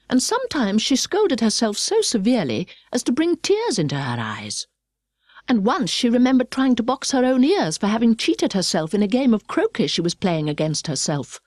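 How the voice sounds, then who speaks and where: Audiobook narrator: British woman, precise expressive storyteller, highly varied intonation, dry studio sound. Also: no echo.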